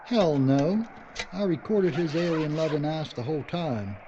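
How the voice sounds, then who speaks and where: An actor playing a stubborn, sardonic filmmaker facing doom in an audio drama. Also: male voice, on the high side, expressive, unhurried. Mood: defiant. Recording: dull, noisy audio with ambience.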